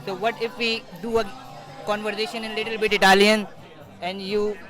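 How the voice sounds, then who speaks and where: A man's voice: high voice, level tone, normal speed, music in the background, crowd noise. A man hosting an interview, asking questions in English and often echoing his guest's words. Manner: frequent short affirmations, echoes the guest's words. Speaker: interviewer, podcast host. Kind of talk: casual interview.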